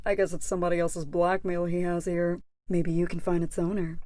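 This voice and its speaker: A woman's voice: fairly low pitch, little pitch movement, brisk, warm tone, natural voice. A woman playing a genial, coy young lady of the Old West in an audio play, folksy and easygoing. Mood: friendly, coy.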